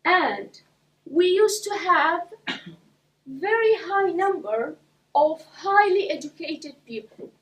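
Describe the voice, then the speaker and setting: A woman from Iraq giving a prepared speech to a club audience, speaking slowly and deliberately with pauses between phrases. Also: very high voice, animated, fairly bright tone. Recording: voice from a distance.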